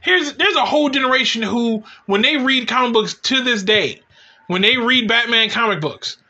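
A man's voice: extremely high pitch, expressive, moderate pace, pushed and bright, lo-fi sound. A man in his forties chatting casually and emphatically as co-host of a show, rambling with strong opinions and swearing. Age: 40s. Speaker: co-host of a comic book talk show.

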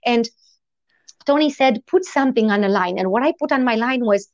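A woman in her forties with a foreign accent, not a native English speaker, telling her story as an interview guest in a lively, animated way. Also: a little high, fairly quick, no room sound, dull audio. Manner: animated, lively storytelling with quoted inner thoughts.

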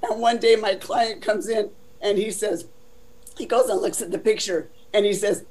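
An older woman from Southern California with a deep voice, telling her life story in a casual, chatty interview full of "you know" fillers. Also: steady tone, normal speed, a little mellow.

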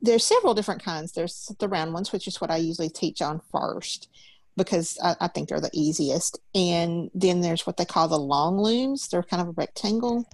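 Brief Gravelly-voiced woman teacher, forties. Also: fairly low pitch, animated, normal speed, a little mellow, dry recording. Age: 40s. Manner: patient, step-by-step instructing. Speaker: loom knitting teacher. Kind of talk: teaching an online class. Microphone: video call.

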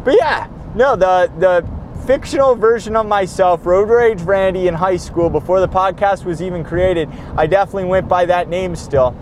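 A man's voice: high voice, normal speed, slight background noise, poor audio. A tired young man hosting a rambling, profane solo podcast from his car, with many restarts and a voice he says he is losing. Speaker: podcast host. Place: car on the road.

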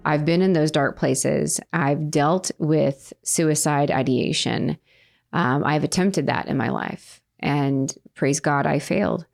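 A woman with a fairly low voice speaks earnestly about her own life, pausing and restarting as she reflects. Soft music plays faintly beneath her. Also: vocal fry. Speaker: interviewee sharing her faith story. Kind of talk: personal testimony.

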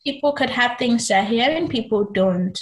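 A young woman guest with a high-pitched voice, talking earnestly and reflectively in a casual interview. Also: moderate pace. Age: young adult. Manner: earnest, reflective, with many fillers.

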